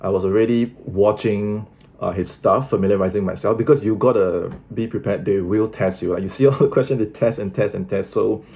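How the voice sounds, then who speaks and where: A 33-year-old man, a sales closer, answering in clipped replies and then calmly telling viewers what happened. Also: lowish voice, a little fast. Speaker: sales closer and channel host. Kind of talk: casual call, then reflective narration.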